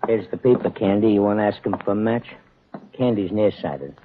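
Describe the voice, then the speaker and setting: An actor in a 1940s radio drama playing a taunting, slow-witted gangster henchman who needles in repetitive, ungrammatical talk. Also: lowish voice, slight background noise, close to an everyday voice. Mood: mocking. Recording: tinny, narrow-band 1940s radio recording.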